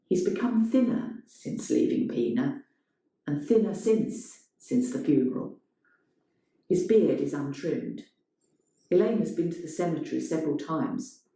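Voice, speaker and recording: Unhurried woman storyteller, very echoey. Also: low-pitched, expressive, gravelly, dark tone. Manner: dramatic, measured storytelling. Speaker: story narrator. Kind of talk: scripted literary narration. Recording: strongly echoing, roomy sound.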